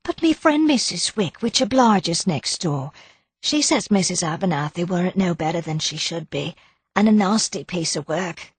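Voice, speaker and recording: A woman's voice: medium pitch, husky, no room sound, voice pushed up. A woman voicing a gossipy working-class older charwoman who chatters in broken, homely grammar. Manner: chatty, ungrammatical dialect speech.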